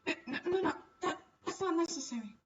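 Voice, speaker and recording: A woman's voice: very high voice, expressive, fast, recorded from a distance, lo-fi sound, voice pushed up. A woman voicing a kind, polite young noblewoman who gets flustered, in a visual novel. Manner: stammering, polite.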